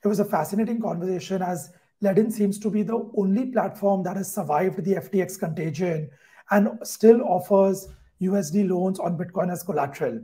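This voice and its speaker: A man in his thirties hosting a podcast interview from a room at home over a video call, speaking in a measured way. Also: high-pitched, dark tone. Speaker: podcast host. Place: home room indoors at night.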